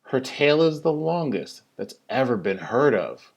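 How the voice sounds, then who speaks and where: A man's voice: mid-range voice, very animated, unhurried, creaky. Man reading a rhyming storybook expressively. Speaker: teacher reading a children's story. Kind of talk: animated storybook read-aloud of rhyming verse.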